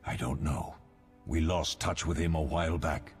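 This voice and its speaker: An actor playing a wise, fatherly older mentor of monster hunters in a video game, measured and instructive. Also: extremely low pitch, soft background music. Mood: reflective.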